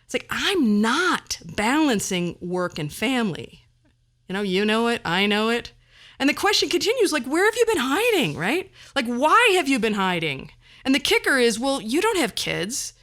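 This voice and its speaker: A woman's voice: a little high, sing-song, moderate pace, fairly bright tone. Wry, theatrical middle-aged woman podcaster. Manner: wry, self-deprecating humour with chuckles. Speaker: podcast host. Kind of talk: personal monologue on a podcast.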